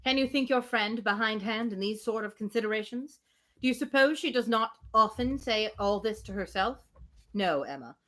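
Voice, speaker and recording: A woman with a mid-pitched voice reads a classic novel aloud for a live stream. Her delivery is expressive, moving easily between formal prose and casual, playful chat. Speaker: host reading a classic novel on livestream.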